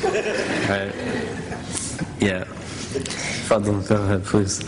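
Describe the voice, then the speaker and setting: A man's voice: lowish voice, sing-song, very slow. A man in his thirties moderating a panel on stage, speaking casually with lots of "you know" and "right" and a self-deprecating tone. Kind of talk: conference moderation. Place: large auditorium stage at a conference. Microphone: podium microphone. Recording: hall reverb with faint noise.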